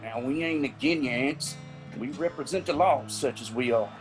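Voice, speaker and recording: A man's voice: mid-range voice, moderate pace, music in the background, natural voice. An actor playing a firm, businesslike Appalachian lawman in a radio drama. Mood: placating.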